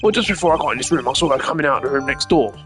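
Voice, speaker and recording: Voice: medium pitch, animated, racing, soft background music, close to an everyday voice. A performer voicing an eager, earnest male junior detective who is deferential to a superior, in a video game. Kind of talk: video game character voice-over.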